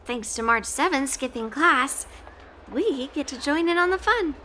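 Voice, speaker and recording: A woman's voice: high-pitched, lively intonation, a little slow, bright, forceful tone, a little hiss or hum, heavily acted. A young actress voicing a bold, blunt young swordswoman in a video game cutscene, talking in a teasing, confident way. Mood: lively. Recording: game cutscene over music. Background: background music.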